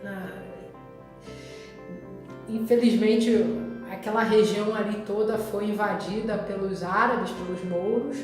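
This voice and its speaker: In a very reverberant recording with music behind, a woman speaks in a Rio de Janeiro Brazilian accent. She takes her time, reflecting casually as a vlogger and often pausing mid-thought. Her pitch sits in an average range for a woman. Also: steady tone. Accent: Rio de Janeiro Brazilian Portuguese. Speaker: vlogger recounting travel memories. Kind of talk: casual personal storytelling. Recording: very echoey, reverberant room with music.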